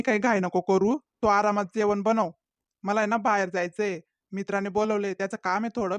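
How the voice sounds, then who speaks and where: A performer voicing a sly, smooth-talking young village man in a cartoon comedy, who dodges his mother and sweet-talks his girlfriend. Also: mid-range voice, a bit bright, dry recording, lightly acted.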